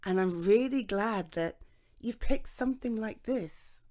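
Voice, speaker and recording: A young British woman speaking to a friend in an intimate ASMR roleplay, chatty and reassuring, with frequent soft laughs. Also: mid-range voice, unhurried. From Britain.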